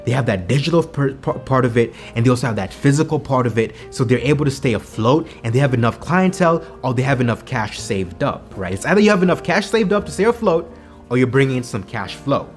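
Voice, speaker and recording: A Kenyan-accented man talks quickly and with energy to his camera, giving motivational business tips. His pitch sits in a middle range. Faint music plays behind his voice. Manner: energetic, repeats himself, says "right?" to the viewers. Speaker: vlogger. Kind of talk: motivational business vlog talk. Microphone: camera mic on a home video set.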